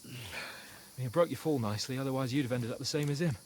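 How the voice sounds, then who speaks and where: An actor playing a nervous young companion in the woods who stammers and rushes his words. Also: mid-range voice, a little mellow, slight background noise, lightly acted. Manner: jittery, stammering, fast. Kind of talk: game cinematic acting.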